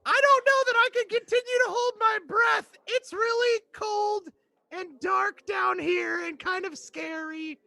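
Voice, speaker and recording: A very high, squeaky voice drawls slowly through the lines of a jittery student trapped in a tank , as an improv player puts it on. The tone is bright with a gravelly edge. It sounds close and dry, and every question comes out timid and fussy. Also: fairly even intonation, exaggerated voice. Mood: anxious. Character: nervous student stuck in a tank.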